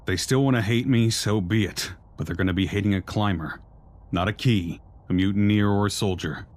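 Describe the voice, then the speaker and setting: A man voicing an earnest, world-weary outcast soldier in a video game, low and reflective. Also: brisk, lightly acted. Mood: defiant. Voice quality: low-pitched.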